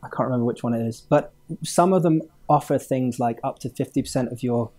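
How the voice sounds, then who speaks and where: A man's voice: mid-range voice, fairly quick, dark tone. A man, born in Hong Kong, chatting as a podcast guest with many fillers and chuckles. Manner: rambling, many fillers, chuckles mid-sentence. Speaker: game studio owner, guest. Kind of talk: casual interview chat.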